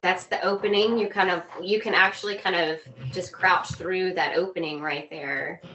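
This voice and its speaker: A woman guest on a video call, chatting informally and telling anecdotes in an animated way. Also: lowish voice, moderate pace, muffled recording, slight background noise. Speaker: podcast guest. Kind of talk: casual chat, telling travel anecdotes.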